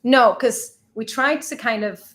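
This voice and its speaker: A woman's voice: a little high, a little slow, a bit bright. A woman from Sweden, a native Swedish speaker, co-hosting a travel channel's live Q&A and chatting casually while reading out viewer questions. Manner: chatty, laughs often, reads out viewer questions. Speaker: travel vlogger co-host.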